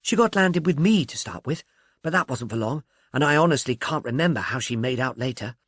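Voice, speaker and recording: Man reading as young actress, amiable, breezy, candid, lively pitch swings, audiobook character. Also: brisk, no room sound, clean studio sound. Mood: breezy, thoughtful.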